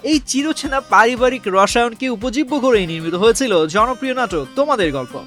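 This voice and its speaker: A man's voice: very high-pitched, expressive, fast, a bit bright, soft background music. A man with a Bangladeshi accent reading scripted entertainment news as a narrator. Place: voice-over over background music.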